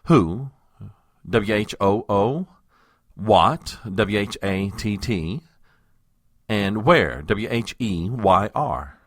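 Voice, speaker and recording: A man's voice: lowish voice, animated, no echo, studio quality, heavily acted. A man playing a patient, weary presidential aide, the exasperated straight man of a comedy audio drama. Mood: patiently explaining. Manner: weary, patient, explaining slowly.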